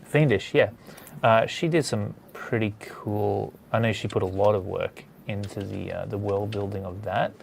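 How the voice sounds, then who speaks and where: Male host, gravelly fry, loose rambling chat, faint background noise. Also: lowish voice, fairly even intonation, normal speed. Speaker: host of a comics drawing studio session. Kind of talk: casual chat.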